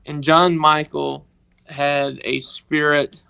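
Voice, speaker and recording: A man with a Mississippi accent, telling a personal story on a podcast in a halting, earnest way. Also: fairly high pitch, level tone, gravelly, sharp tone, clear, resonant tone. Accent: Southern US, Mississippi. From northeast Mississippi, United States. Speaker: podcast guest, organization member telling his story.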